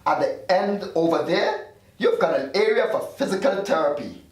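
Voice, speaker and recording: Higher-pitched, lilting male medical supervisor played by an actor. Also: lively intonation, moderate pace, lightly acted. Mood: matter-of-fact. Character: matter-of-fact male medical supervisor in the afterlife. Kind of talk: script table read. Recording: echoey room, distant mic.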